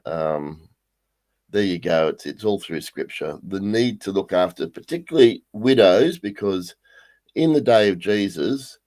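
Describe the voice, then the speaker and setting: A male pastor with a croaky voice leading a livestreamed Bible study, halting with many ums and restarts. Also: sits low, lively intonation, a little mellow.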